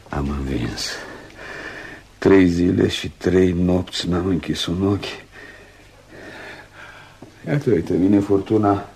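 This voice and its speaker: A man's voice: low-pitched, darkish tone, slightly rough. An actor playing a passionate, devoted male doctor in an emotional, dramatic Romanian radio play. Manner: emotional, dramatic, swinging from murmurs to cries. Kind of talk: Romanian radio theatre acting.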